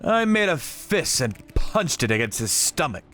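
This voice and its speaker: A man's voice: on the high side, big pitch swings, a little slow, a bit bright, faint music behind. A man narrating a dramatic story in the first person with theatrical delivery. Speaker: narrator of a manga channel story.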